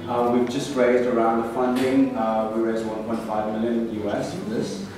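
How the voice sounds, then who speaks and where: A man introduces himself as a startup founder on a live panel, clear and factual. He is picked up from across the room, where the sound bounces around. A background noise runs steadily beneath him. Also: mid-range voice, flat delivery, moderate pace. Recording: echoey room, distant mic, background noise.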